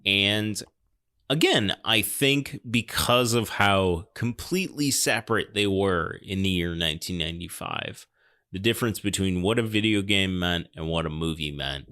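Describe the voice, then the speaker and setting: A man talks casually as a podcast commentator. His voice sits on the low side and sounds very clean and resonant. He develops his points thoughtfully, pausing and circling back as he goes. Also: animated, sharp tone. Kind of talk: casual film discussion.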